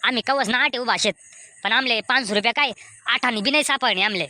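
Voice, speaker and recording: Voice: medium pitch, a little fast, bright, forceful tone, dry recording. A performer voicing a blunt, irritable village man in a comedy cartoon, with a Khandeshi Ahirani accent. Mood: irritated denial.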